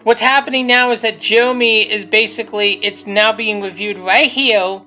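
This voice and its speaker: A man's voice: high voice, steady tone, normal speed, sharp tone. A man giving casual, unscripted commentary as the creator of a video series, rambling and repeating himself. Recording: muffled lo-fi mic, music behind.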